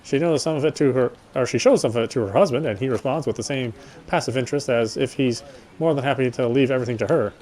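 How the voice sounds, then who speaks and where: Guy doing a lets play, reads the text out loud in a normal mid voice, stumbles a bit, casual side comments. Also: mid-range voice, quick pace. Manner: stumbles and rereads lines. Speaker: gamer reading a visual novel aloud. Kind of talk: reading game narration with casual asides.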